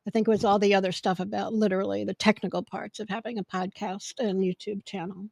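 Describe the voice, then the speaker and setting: A woman hosting a podcast in casual chat, with a loud voice that projects when she gets excited. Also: medium pitch, fast talker, creaky, dry recording. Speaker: podcast host. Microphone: podcast microphone.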